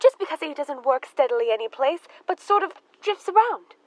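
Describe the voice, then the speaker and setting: High-pitched, fretful spinster played by a woman. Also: very animated, fairly quick, darkish tone, dry recording. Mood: indignant. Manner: fluttery, pleading, defensive. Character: anxious, fretful spinster sister, loyal and easily distressed. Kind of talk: theatrical radio drama acting.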